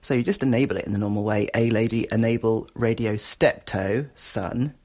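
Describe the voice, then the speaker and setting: Low-voiced man reviewing casually. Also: normal speed, a little mellow. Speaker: podcast host reviewing voice-assistant skills.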